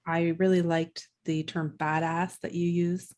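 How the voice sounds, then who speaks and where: A woman's voice: fairly low pitch, flat delivery, a little slow, a little mellow. A woman hosting a podcast, asking questions in an encouraging, loosely hesitant way. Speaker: podcast host. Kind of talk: casual interview conversation.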